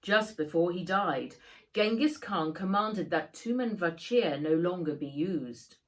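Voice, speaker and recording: A woman's voice: on the low side, expressive, a little slow. A woman reading a storybook as a solemn clansman who guards his clan's history. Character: Jamuka, solemn Mongolian clansman telling clan history.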